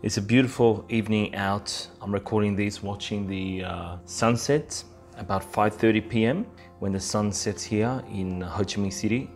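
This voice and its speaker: A man's voice: fairly low pitch, steady tone, normal speed, faint music behind. A man hosting a podcast alone, explaining things in an informal, conversational way with frequent check-ins to the listener. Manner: informal, conversational, peppers talk with "you know" and "right?". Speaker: podcast host. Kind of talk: casual explainer podcast monologue.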